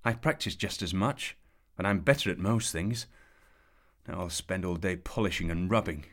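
A man's voice: low voice, moderate pace. A man voicing a resentful young boy squire, speaking sulkily. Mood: sulky.

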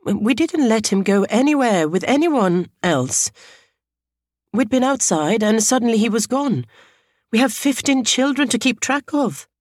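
A woman's voice: on the high side, lively intonation, measured pace, a little mellow, dry recording, studio quality, voice pushed up. A woman voicing a young preschool teacher in an audiobook story, defensive and devastated, pleading her case. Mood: defensive, upset. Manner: pleading, self-justifying.

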